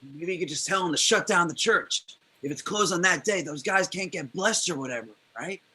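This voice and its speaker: A man reading the part of a blunt, simple slacker with a raspy voice in a film script read-through. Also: high-pitched, fairly quick, bright, forceful tone, heavily acted. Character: blunt, simple slacker with plain-spoken ideas.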